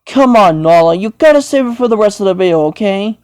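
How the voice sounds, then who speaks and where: Woman putting on a bossy, low-voiced young male lion. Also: lively intonation, quick pace, a little mellow, smooth, close to an everyday voice. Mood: exasperated. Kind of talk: improvised character play.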